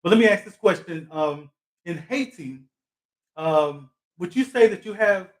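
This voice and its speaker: A man's voice: high voice, expressive, unhurried, low-quality recording. Thoughtful male talk show host. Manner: thoughtful, with frequent fillers and reflective asides. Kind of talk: live talk show interview.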